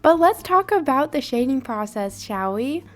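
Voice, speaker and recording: A young woman, hoarse from a sinus infection, chatting upbeat in a voiceover about her drawing. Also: high voice, sing-song, moderate pace, faint music behind. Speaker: art channel host. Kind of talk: voiceover over drawing footage.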